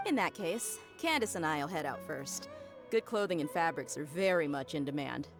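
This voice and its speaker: A woman's voice: low-pitched, expressive, moderate pace, music in the background. A woman playing a breezy, outgoing, confident and playful young woman in a video game. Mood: friendly. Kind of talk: English video game voice acting.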